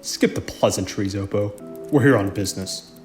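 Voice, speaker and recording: An actor playing a stern, impatient starship captain who speaks curtly. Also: male voice, on the low side, moderate pace, a little mellow, faint music behind, close to an everyday voice. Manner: curt, clipped.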